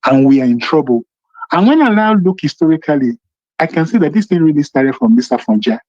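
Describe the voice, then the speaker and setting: High-pitched, animated Nigerian man on a phone. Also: a little fast, no echo. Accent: Nigerian Yoruba. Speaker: phone-in caller. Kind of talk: impassioned political phone-in commentary. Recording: muffled, band-limited phone call.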